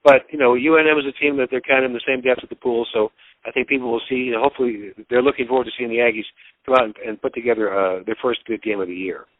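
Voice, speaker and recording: A man's voice: medium pitch, fairly even intonation, no echo. Man, sports broadcaster being interviewed, rambling folksy talk, dry close sound, phone-like narrow band. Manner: halting, rambling, folksy delivery. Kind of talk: casual sports interview commentary.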